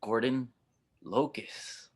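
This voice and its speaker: A man playing a gruff, cynical cyborg spaceship skipper who talks wryly and probingly in an audio drama table read. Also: medium pitch, steady tone, drawn-out words. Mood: surprised.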